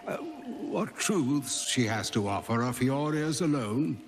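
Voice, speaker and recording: Old game wizard, gravelly, sweeping intonation, pompous, courtly, music behind. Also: male voice, medium pitch, big pitch swings, measured pace. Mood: solemn. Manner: theatrical, pompous, ornate phrasing. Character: wise old male wizard, courtly and grandfatherly. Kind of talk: fantasy video game acting.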